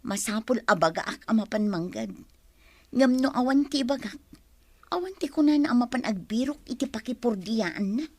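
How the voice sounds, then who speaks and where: A woman's voice: on the high side, expressive, moderate pace, a little mellow, no echo. A woman acting a long-suffering, emotional young mother and wife in a radio drama. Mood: regretful, sad.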